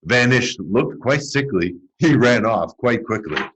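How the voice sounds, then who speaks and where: A man reading a children's storybook aloud in a theatrical, expressive way. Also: mid-range voice, a little slow, poor audio. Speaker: storybook reader.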